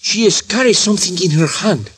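An actor playing a Mexican man with a Spanish accent and broken English, quietly telling what he saw one night, in a 1950s radio drama. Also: sits high, expressive, brisk, husky, full character voice, poor audio. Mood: hushed. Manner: broken English, hushed storytelling. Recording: old radio broadcast with faint hiss.

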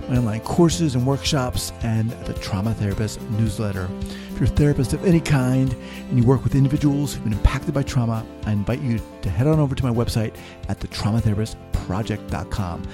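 A man hosting an interview podcast, with an upbeat, energetic delivery when introducing guests. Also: mid-range voice. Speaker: podcast host.

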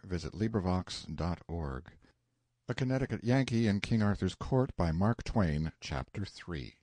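A man with a low, mellow voice narrates classic fiction in a measured storytelling style. His intonation rises and falls widely, and the recording is close and dry. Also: dry recording. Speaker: audiobook narrator. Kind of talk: scripted literary narration of a novel.

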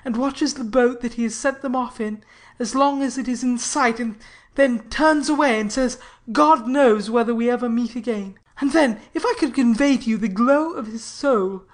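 A woman voicing an earnest, warm-hearted male sea captain in an audiobook. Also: fairly high pitch, normal speed, lightly acted. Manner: earnest, emphatic pleading. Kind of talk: audiobook character reading.

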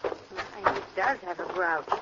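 A woman's voice: on the low side, slow. An actress playing a well-bred, self-assured young society woman in an old radio crime drama, proper and polite in manner. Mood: polite, breezy. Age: young adult. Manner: proper, polite, readily indignant. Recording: very noisy, muffled vintage radio recording.

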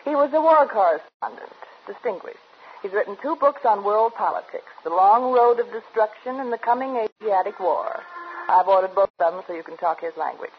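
An actress playing a smug, nosy young American secretary, a teasing, sing-song wisecracker in a 1950s radio drama. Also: female voice, mid-range voice, expressive, normal speed, natural voice. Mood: gleeful, efficient. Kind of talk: 1950s radio drama comic sidekick acting. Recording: dull old radio recording, slight noise.

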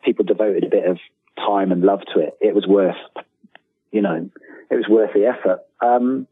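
A man's voice: fairly low pitch, fairly even intonation, normal speed, slightly rough, no echo. A middle-aged man talking casually as an interview guest, rambling, self-deprecating and enthusiastic, over a thin phone-like line.

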